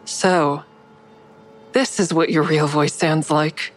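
Wry, defiant thriller heroine. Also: female voice, deep voice, steady tone, slow pace. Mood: tense, baiting. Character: weary, defiant woman narrating her own thriller. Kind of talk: first-person audio drama narration and dialogue.

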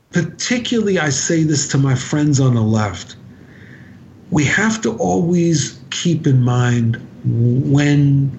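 A man giving conversational commentary as an interview guest, deliberate and emphatic, pausing haltingly and repeating for stress. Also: medium pitch, a little mellow, slight background noise. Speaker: podcast guest, author and activist. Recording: dull, lo-fi podcast audio.

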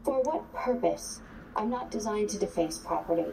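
A woman's voice: medium pitch, expressive, moderate pace, a little hiss or hum, lightly acted, rough recording. A woman playing a polite, literal robot nurse in an audio drama, speaking in formal, clipped, machine-like phrases. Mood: obliging, matter-of-fact. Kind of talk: audio fiction acting. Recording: loud music under the voice. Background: loud music.

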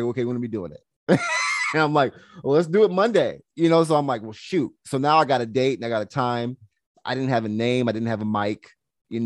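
A young man, a health coach, chatting casually in an interview, relaxed and laughing easily, with plenty of "you know"s. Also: mid-range voice, no room sound. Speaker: health coach and podcast guest.

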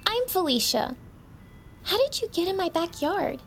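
A very high, childlike voice for a young fourth-grade girl in an audio drama. She is timid and obedient, speaking hesitantly with small stammers. There is faint noise behind her. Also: big pitch swings, unhurried, exaggerated voice. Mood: cautious. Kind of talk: immersive audio drama acting.

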